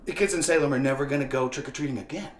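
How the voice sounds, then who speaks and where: A man's voice: medium pitch, very fast, a little hiss or hum, natural voice. Echoey, grandiose slacker guy, acted by a man. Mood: self-delighted. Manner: rambling, bro-ish, grandiose, singsong. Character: scheming, deluded slacker pitching his candy-delivery startup idea. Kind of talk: comedic audio drama dialogue. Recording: echoey room with music behind.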